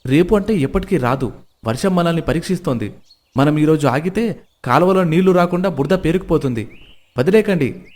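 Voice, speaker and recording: A man voicing a determined young village man, a devoted son in an animated moral story, speaking with earnest resolve. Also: a little high, a little fast, natural voice.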